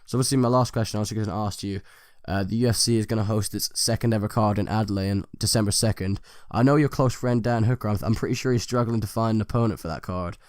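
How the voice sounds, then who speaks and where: A man's voice: on the low side, fast, darkish tone, no echo, clean studio sound. A man interviewing a fighter, asking long, rambling questions full of fillers.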